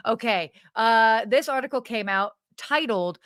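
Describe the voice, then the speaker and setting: Woman, higher pitch, podcast co-host, casual chat, animated, giggly, dry sound. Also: measured pace, clear, resonant tone, dry recording. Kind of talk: casual live show chat.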